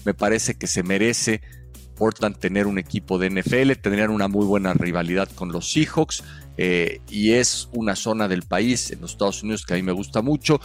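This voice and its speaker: Measured, low-voiced man. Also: fairly even intonation, soft background music. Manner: measured, teasing, explanatory. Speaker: podcast co-host. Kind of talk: casual sports chat.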